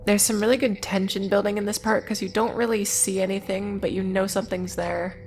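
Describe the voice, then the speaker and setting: A woman's voice: medium pitch, little pitch movement, fairly quick, slight background noise. A woman narrating a scripted video essay in an informal way, with casual, wry asides amid plain recapping. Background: music.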